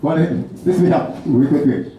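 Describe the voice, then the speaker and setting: A man's voice: on the high side, animated, normal speed, highs cut off. A man with a Vincentian Caribbean accent performing live dramatized banter and chanteys, calling out in repeated phrases. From St. Vincent and the Grenadines. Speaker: performer in a whaling chantey group. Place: open-sided festival tent stage. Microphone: distant audience camera. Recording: echoey, noisy festival tent, far off.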